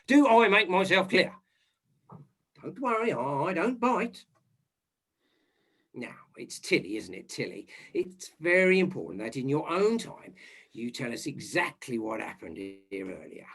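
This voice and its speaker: A man's voice: high voice, very animated, moderate pace, a bit bright, full character voice. A man playing a bumbling, self-important police inspector, rambling and condescending, in a comic murder mystery. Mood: condescending, brusque.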